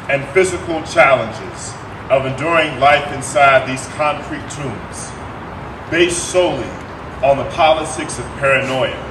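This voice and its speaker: A man giving a dramatic stage reading as a proud, defiant long-term prisoner in solitary, with deliberate, declamatory phrasing. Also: medium pitch, natural voice. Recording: roomy, distant mic, steady background noise.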